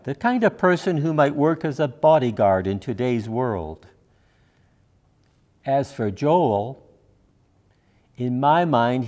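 An older man, a minister giving a sermon in a measured delivery from a church pulpit. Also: a little high, darkish tone.

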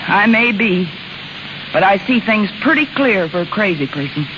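An actress in a 1930s radio drama playing a weary, remorseful, broke carnival woman with halting, folksy speech. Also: medium pitch, expressive, natural voice. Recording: muffled, crackly 1930s radio broadcast.

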